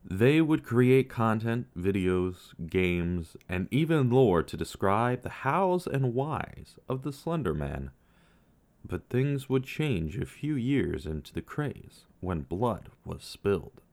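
Low-voiced man narrating true crime. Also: big pitch swings, darkish tone. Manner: measured storytelling with dramatic pauses. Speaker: podcast narrator.

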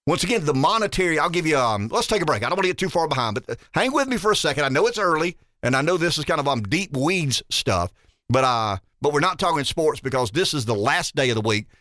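A man's voice: on the high side, quick pace, dry recording, clean studio sound. A man in his fifties hosting a talk radio show, musing aloud and asking rhetorical questions. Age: 50s. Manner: animated, rambling, rhetorical questions.

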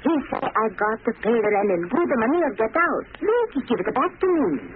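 Poor Italian mother, broken English, tearful and pleading, swooping pitch, old radio drama, very noisy tinny recording. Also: sing-song, brisk. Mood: distressed. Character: poor, frightened, kindly immigrant mother, pleading and sorrowful. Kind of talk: 1940s radio drama acting.

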